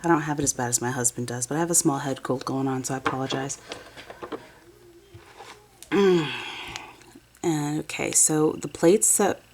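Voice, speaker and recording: A woman's voice: low-pitched, normal speed, long pauses, darkish tone, a little hiss or hum. A woman in her thirties with a sniffly head cold, chatting casually and a bit ramblingly as she walks through a nail art tutorial at her desk. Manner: chatty, rambling, self-correcting. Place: home desk, nail station. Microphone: overhead camera, hands only.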